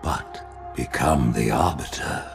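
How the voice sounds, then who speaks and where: An actor playing an aged, solemn alien high priest in a video game, imperious and shrewd, speaking gravely and formally. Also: male voice, slow pace, faint music behind, exaggerated voice. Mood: stern, commanding. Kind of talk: cinematic video game acting.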